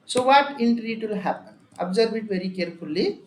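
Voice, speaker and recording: An instructor with an Indian accent gives a software tutorial in a repetitive, step-by-step way. The voice sits at a middling pitch. The recording is echoey, with faint noise behind it. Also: animated, a little fast. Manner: repetitive, emphatic step-by-step explaining with frequent check words. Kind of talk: software and accounting tutorial, explaining a worked example.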